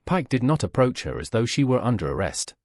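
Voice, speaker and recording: A man reading scripted audiobook narration in a measured, literary manner. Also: mid-range voice, big pitch swings, darkish tone, no echo, clean studio sound.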